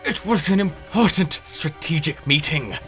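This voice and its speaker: A man's voice: on the high side, animated, unhurried, a bit bright, soft background music. A man voicing a haughty, irritable space opera general in a comic dub, speaking in a clipped, formal, imperious manner. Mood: indignant, scornful. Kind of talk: dramatic voice acting in a comic dub.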